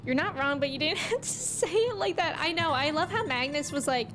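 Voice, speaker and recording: A woman's voice: high voice, brisk. A woman livestreaming a game, chatting casually and reacting to the story in slangy speech. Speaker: game streamer. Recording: music behind the voice. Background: game music.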